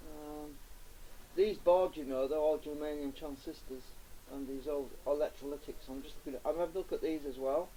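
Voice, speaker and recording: A man's voice: a little high, mellow, slight background noise, low-quality recording. A man gives casual unscripted commentary while doing a hands-on repair, thinking aloud and muttering to himself on an amateur camera recording. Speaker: hobbyist electronics repairer presenting his own video.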